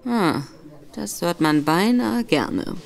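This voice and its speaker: A woman voicing a sly, affable gentleman in disguise who probes with feigned forgetfulness, in a radio play. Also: fairly low pitch, lively intonation, takes it slowly, gravelly, pitched below the performer's own voice, exaggerated voice. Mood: probing, sly. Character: smooth gentleman Virgil Barker, a man, sly and probing.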